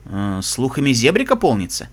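A man as a courteous, smooth-talking pony traveller. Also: mid-range voice, wildly swooping pitch, normal speed, voice pushed down. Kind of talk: audiobook character voice.

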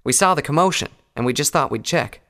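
A man's voice: a little high, animated, quick pace, sharp tone, pitched above the performer's own voice. A man voicing a feisty young country mare pony with a folksy, forthright manner in a fantasy audio story. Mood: defensive.

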